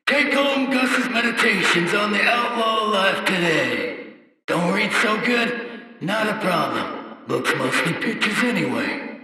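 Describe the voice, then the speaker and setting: Video game gang enforcer: brash coarse hawker, sharp lively delivery, distant echoing space. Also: male voice, high voice, slight background noise, exaggerated voice. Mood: threatening sales pitch. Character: loud thuggish male gang enforcer hawking his boss's book. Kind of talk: video game character voice acting. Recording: echoey hall, voice far off.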